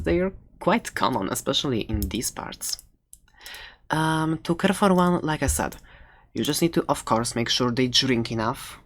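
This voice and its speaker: An excitable fantasy shopkeeper who sells dragon eggs, acted out by an ASMR performer. The delivery is soft-spoken and unhurried, with a medium pitch. It rambles playfully, with giggly asides and light sarcasm. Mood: playful, sarcastic. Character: chatty, excitable dragon-egg shopkeeper and adventurer.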